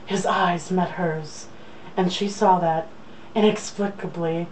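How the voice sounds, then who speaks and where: A woman's voice: fairly low pitch, fairly even intonation, takes it slowly, a little mellow. A young woman casually reading a romance novel aloud, with an irreverent, joking manner. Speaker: reader. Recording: distant mic, faint background noise.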